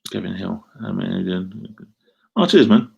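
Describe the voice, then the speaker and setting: A man's voice: low-pitched, lively intonation, measured pace, gravelly, slightly rough, dull audio. A middle-aged man from Essex with an Essex accent, chatting casually on a live stream and answering comments in a rambling, self-deprecating way. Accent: Essex English. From Essex, England. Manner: rambling, self-deprecating, frequent ums and restarts.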